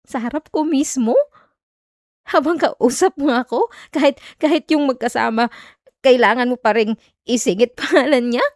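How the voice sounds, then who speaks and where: A woman's voice: high voice, normal speed, a little mellow, no room sound, clean studio sound. A woman with a Filipino accent playing a jealous, hurt young girlfriend in an emotional, sarcastic audio roleplay. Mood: angry, exasperated. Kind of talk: Tagalog ASMR girlfriend roleplay, acted monologue.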